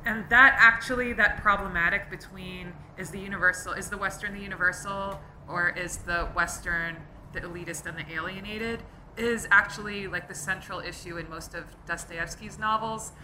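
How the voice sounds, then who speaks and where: A woman's voice: medium pitch, steady tone, normal speed, bright, forceful tone, a little hiss or hum, low-quality recording. A middle-aged woman author, talking at a live event in a thoughtful, rambling way with many fillers. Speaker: author being interviewed.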